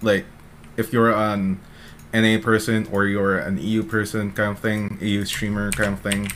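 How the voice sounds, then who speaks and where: A man from the Philippines hosts a relaxed online streamer podcast, his voice sitting a little low. He wanders through long questions, padding them with fillers and restarts. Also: normal speed, noisy background. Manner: rambling, lots of filler words. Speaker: podcast host. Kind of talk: casual chat.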